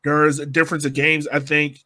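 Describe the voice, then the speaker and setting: A man with a fairly high, very bright voice hosts a sports podcast. He talks casually, like he is chatting with fellow fans. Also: completely flat delivery, a little fast.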